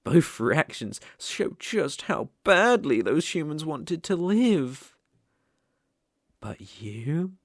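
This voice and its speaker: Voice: medium pitch, very animated, very slow, no echo, studio quality, full character voice. A British-accented performer playing a sadistic, haughty demon in an ASMR roleplay, with a mocking, condescending, theatrical delivery. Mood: cruel mockery. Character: sadistic, haughty demon lord sneering at human sacrifices.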